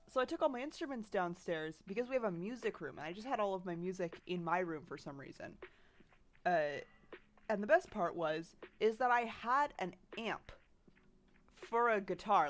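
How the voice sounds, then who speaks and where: A woman's voice: lowish voice, animated, moderate pace. Sniffly, chatty woman streamer. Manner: rambling, chatty, laughs often, reads chat aloud. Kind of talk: casual chat while drawing on stream. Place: home bedroom.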